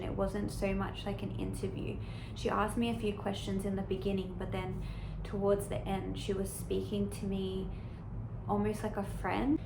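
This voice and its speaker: A woman's voice: mid-range voice, vocal fry. A young Australian woman telling a casual, chatty story in a vlog, with many fillers and restarts. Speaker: vlogger, flight attendant. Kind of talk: casual chat. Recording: echoey room with noise.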